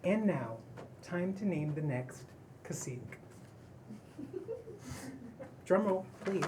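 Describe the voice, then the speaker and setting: A woman's voice: on the low side, lively intonation, slow. A woman reading the part of an upbeat male group leader at a table read, with a ceremonious, announcing manner, in a noisy live recording. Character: Colin, upbeat male group leader running a house ritual. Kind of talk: table read of a pilot script.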